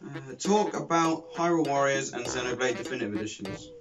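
A man's voice: mid-range voice, brisk, vocal fry, crowd noise, poor audio. A teenage male guest presenter delivering a scripted comedy sketch and game narration. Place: small bedroom, indoors.

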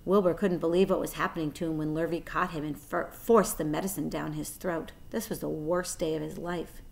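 A woman's voice: low-pitched, fairly even intonation, fairly quick, gravelly. A woman in her forties reading a children's story aloud expressively, as a storyteller. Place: small plain room indoors.

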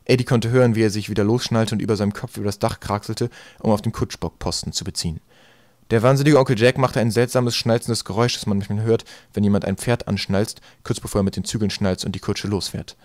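A man's voice: lowish voice, steady tone, quick pace, a little mellow, no echo, studio quality. A man narrating a storybook as a scripted audiobook reading. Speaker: audiobook reader.

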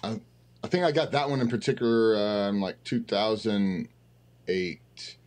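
A man's voice: lowish voice, measured pace, a little mellow. A man of thirty-five, a podcast guest, chatting casually and rambling with fillers over a video call. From Tennessee, US. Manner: laid-back, rambling with fillers. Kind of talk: casual chat. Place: dark home room with blue lights.

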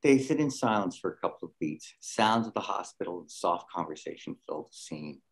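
Guy reading stage directions off a script, low voice, lots of fry. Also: expressive, a little fast, poor audio. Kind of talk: scripted narration.

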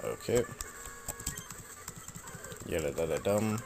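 A young man casually commenting while he plays a video game, rambling and self-mocking, his voice slightly raspy from a cold. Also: low voice, expressive, takes it slowly, noisy background. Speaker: let's play host.

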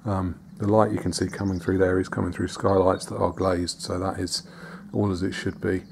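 Low gravelly man touring an old barn. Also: moderate pace, a little hiss or hum. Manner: relaxed, hesitant with fillers and restarts. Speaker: vlogger restoring a farmhouse. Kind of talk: casual walk-around tour. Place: outdoors in a farmyard and inside a large barn.

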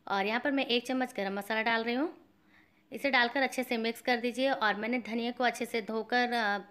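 A woman's voice: fairly high pitch, fairly even intonation, a little fast, fairly bright tone. A woman hosting a home cooking channel, chatting through each step of a recipe and calling her viewers friends. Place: home kitchen.

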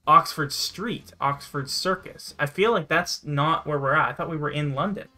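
A man's voice: a little high, normal speed, sharp tone. A young adult man chatting casually as a co-host and commenting on a guessing game. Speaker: co-host giving hints to the player. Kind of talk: casual gameplay chat. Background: music in the background.

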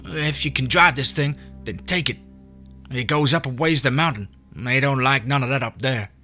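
A man's voice: medium pitch, moderate pace, pushed and bright, soft background music, pitched above the performer's own voice, lightly acted. A man voicing a scrappy, hungry young boy with a drawl and defiant pride. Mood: urgent. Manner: folksy backwoods drawl, pleading to defiant.

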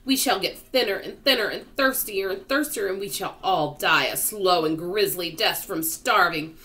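A woman's voice: mid-range voice, moderate pace, bright, forceful tone, voice pushed up, close to an everyday voice. A woman voicing a gloomy old male earthworm in a children's storybook, complaining emphatically and predicting doom. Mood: doom-laden.

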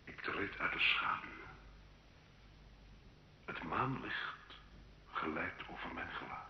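An actor playing a rough, loyal soldier, urgent and imploring, in the theatrical manner of an old radio drama. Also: male voice, low voice, expressive, slow pace, heavily acted. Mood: insistent. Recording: dull, roomy old radio recording with noise.

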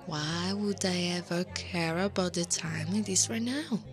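Woman acting slow-talking, teasing older slime woman. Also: fairly low pitch. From Italy. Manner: teasing, breathy half-whispers, frequent soft laughs. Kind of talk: ASMR roleplay. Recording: music behind the voice.

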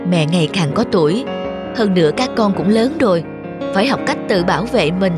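A woman voicing a caring, patient mother chameleon who teaches her young in a children's cartoon, gentle and instructive. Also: mid-range voice, lively intonation, brisk, over music, close to an everyday voice.

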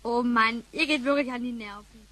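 A woman's voice: on the high side, normal speed, sharp tone. A female performer playing a plucky, forthright schoolgirl with cheeky, direct speech in a radio play. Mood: exasperated.

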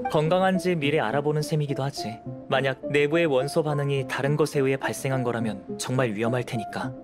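A man playing a calm, analytical young alchemist in a game, explaining in a measured, composed way. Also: medium pitch, natural voice. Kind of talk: Korean game voice acting.

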